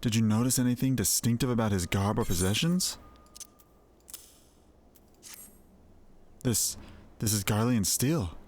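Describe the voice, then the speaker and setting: A man voicing a troubled, earnest fantasy game character, reading the dialogue in a deliberate way. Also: on the low side, a little mellow, lightly acted. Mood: alarmed, urgent.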